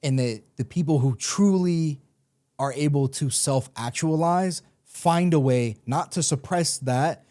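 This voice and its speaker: A man's voice: fairly high pitch, unhurried, mellow. A young man co-hosting a podcast, talking through ideas in a thoughtful, casual way. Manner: thoughtful, reflective, with fillers and restarts. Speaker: podcast co-host. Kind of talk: casual chat.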